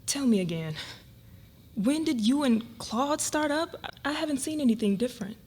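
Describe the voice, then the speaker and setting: Woman acting a husky-voiced, disbelieving wife. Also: high voice, animated, normal speed, darkish tone. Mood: stunned, hurt. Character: shocked, hurt wife of a husband revealing an affair. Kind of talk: live dramatic reading of a novel. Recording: live reading with room noise.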